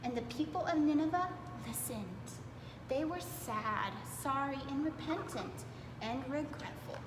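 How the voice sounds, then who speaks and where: A woman reading aloud to young children in a playful, expressive way, dwelling on words for emphasis. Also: high-pitched, unhurried. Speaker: preschool storytime reader. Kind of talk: animated children's read-aloud. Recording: echoey reverberant room with clear background noise.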